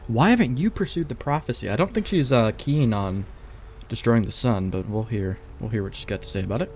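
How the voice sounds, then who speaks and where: A man's voice: mid-range voice, brisk, darkish tone, slight background noise. A man doing casual let's play commentary, laid-back and slangy, thinking aloud as he goes. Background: faint game ambience.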